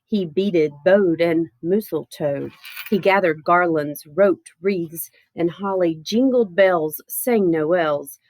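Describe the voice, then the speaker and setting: A woman reading a children's picture book aloud in a playful, expressive way with theatrical emphasis. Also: lowish voice, slow, rough recording. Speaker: storybook reader.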